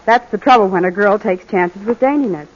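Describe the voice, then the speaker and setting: A woman's voice: mid-range voice, fairly quick, close to an everyday voice. An actress playing a prim, disapproving young woman lecturing in a 1940s radio advertisement. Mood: prim concern. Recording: muffled old radio recording.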